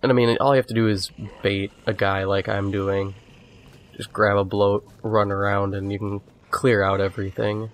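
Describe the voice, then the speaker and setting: A man's voice: lowish voice, moderate pace. Man with a head cold narrating gameplay. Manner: relaxed, rambling, with restarts. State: has a cold, sniffly. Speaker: gamer doing a walkthrough. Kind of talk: casual gameplay commentary.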